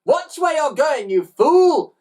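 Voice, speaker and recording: A woman plays a crooked ice cream seller's wife in a storybook. She is brash and mocking, high in pitch and wildly animated, barking and bellowing like a bully who enjoys it. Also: measured pace. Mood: furious bellowing.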